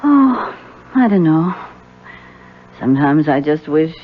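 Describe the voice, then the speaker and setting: A woman's voice: low voice, very animated, slow, exaggerated voice. A frail, ailing wife in a 1970s radio drama. She is wistful and sighing, with swooping intonation, heard through a tinny, lightly hissy recording. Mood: wistful, weary.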